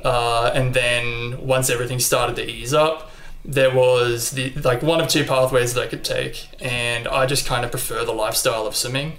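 A young Australian man talking casually in an interview, relaxed and chatty with frequent ums and likes. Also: medium pitch, moderate pace. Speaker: interview guest, athlete. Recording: echoey room.

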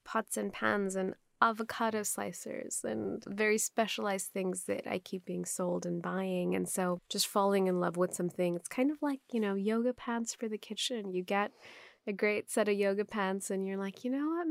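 A woman's voice: medium pitch, creaky, dry recording. A woman from Pakistan whose first language is Urdu, a company founder answering interview questions in earnest, flowing strings of phrases.

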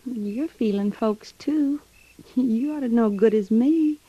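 High, soft frontier wife, dull recording. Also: female voice, slow pace, slightly rough, no echo. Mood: reassuring, weary. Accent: American. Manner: soft, folksy rural speech. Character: gentle, loving, stoical pregnant young frontier wife. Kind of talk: old-time American radio drama acting. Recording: dull vintage radio recording.

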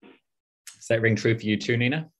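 A man's voice: mid-range voice, normal speed. A young man hosting an interview with youth climate activists, earnest and hesitant, with fillers. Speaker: host.

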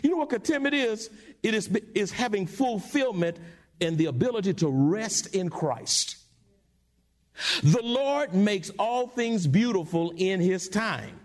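A male pastor preaching a sermon in church, with call-and-response and sudden high-pitched asides. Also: expressive, a little slow. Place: church sanctuary.

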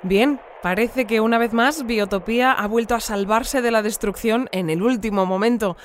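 A woman's voice: on the high side, moderate pace, a little hiss or hum, close to an everyday voice. A woman playing an earnest, formal news bulletin presenter in a sci-fi audio drama. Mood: formal, composed. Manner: formal, composed announcer delivery. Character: earnest female newsreader of an absurd sci-fi town. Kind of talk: scripted audio fiction newscast.

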